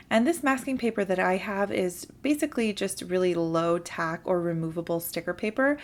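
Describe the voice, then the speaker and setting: A young woman narrates a papercraft tutorial over her hands at work, explaining each step in a friendly, patient way. Her voice sits at a middle pitch and is a little off from a sore throat she has today. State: slightly sick with a sore throat. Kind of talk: instructional voice-over for a crafting video.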